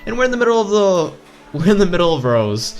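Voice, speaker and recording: Lively man reading a visual novel aloud. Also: high voice, fast. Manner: playful, stumbles over words. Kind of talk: casual read-aloud narration with side comments. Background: visual novel background music.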